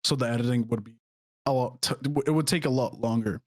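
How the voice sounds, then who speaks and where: A man's voice: medium pitch, a little fast, a little mellow, dry recording, studio quality. Young Czech-born man, hesitant chat. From Czech Republic. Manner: hesitant, many fillers and restarts. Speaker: guest streamer. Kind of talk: casual podcast chat.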